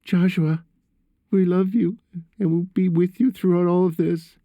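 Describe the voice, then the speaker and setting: A man's voice: sits high, fairly even intonation, measured pace, gravelly, mellow, no echo, lo-fi sound, voice pushed up, heavily acted. A man voicing a loving, anxious mother in an audiobook, tender and weak-voiced. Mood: reassuring. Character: loving, anxious, tearful mother comforting her son.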